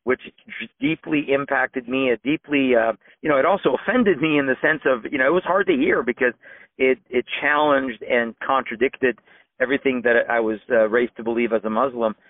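A man in his fifties, originally from Iran, tells his story in a steady, reflective manner. His voice is dry and close, and it comes through a thin, tinny phone line. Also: medium pitch, fairly quick, no room sound. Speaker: guest. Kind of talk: personal testimony.

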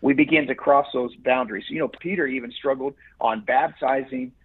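A man's voice: medium pitch, normal speed, slightly rough. A man who is a guest on the program, answering in a preacherly way with frequent restarts. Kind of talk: earnest interview answers. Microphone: phone. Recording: muffled phone line.

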